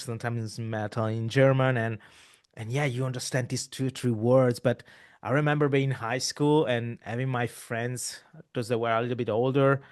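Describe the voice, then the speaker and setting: A man, hosting a casual interview, talking in long rambling runs full of restarts. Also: medium pitch. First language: Italian. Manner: rambling, with many restarts and repeated words. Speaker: podcast host.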